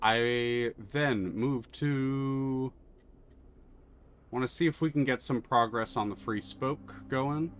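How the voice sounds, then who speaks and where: A man playing a video game on stream, thinking his moves through aloud and swearing when excited. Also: medium pitch, takes it slowly, a little hiss or hum.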